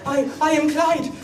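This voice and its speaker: A woman's voice: sits high, roomy sound, distant mic, very noisy. A woman voicing a timid, peace-loving male envoy who stammers nervously.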